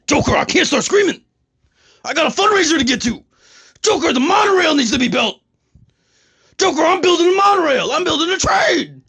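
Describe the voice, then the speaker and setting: A man's voice: very high voice, sing-song, brisk, long pauses, pushed and bright, heavily acted. A man voicing a harried, self-important superhero in a comedy parody, scolding and pleading in indignant exasperation. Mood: exasperated.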